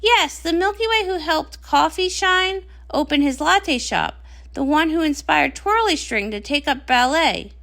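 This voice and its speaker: A woman's voice: high voice, animated, unhurried, vocal fry, a bit bright, exaggerated voice. A woman voicing a whimsical, boastful magical wishing well nymph in a comic read-along, chatty and theatrical. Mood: proud, helpful.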